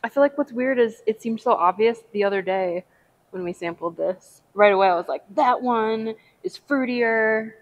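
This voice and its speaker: A woman's voice: a little high, normal speed. Woman, casual taster, self-deprecating, muffled mic. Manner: searching for words, self-deprecating. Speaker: coworker taste tester. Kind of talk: casual tasting comments. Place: brewery taproom. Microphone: lapel mic.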